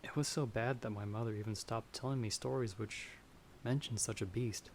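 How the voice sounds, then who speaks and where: A man's voice: lowish voice, fairly even intonation, moderate pace, a little hiss or hum, close to an everyday voice. A man playing a timid, polite young farmer in an ASMR fantasy roleplay, speaking hesitantly and a little formally. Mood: nervous, polite. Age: young adult. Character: timid, polite young male farmer traveler, easily frightened. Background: stormy night ambience.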